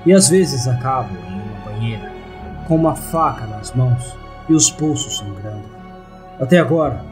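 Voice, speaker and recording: A man's voice: medium pitch, close to an everyday voice. A man reading a first-person horror tale as a lonely, troubled narrator, in a somber, confessional manner.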